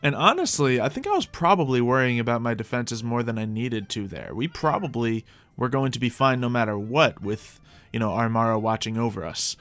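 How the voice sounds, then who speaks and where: Lively man rambling through game commentary. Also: medium pitch, a little fast, hardly any pauses. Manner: thinking aloud, playful. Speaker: gaming video host. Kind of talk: casual rambling Minecraft let's play commentary.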